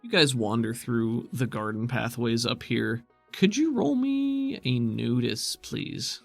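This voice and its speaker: A man's voice: medium pitch, sing-song, slow, vocal fry, no room sound. Dry, close-sounding man as game master. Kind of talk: narrating a tabletop roleplaying game. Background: background music.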